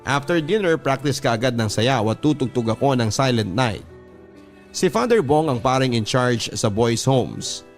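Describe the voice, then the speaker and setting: A man's voice: mid-range voice, expressive, a little slow, faint music behind. A man with a Filipino accent reading a first-person story as a radio drama narrator, in a sentimental manner.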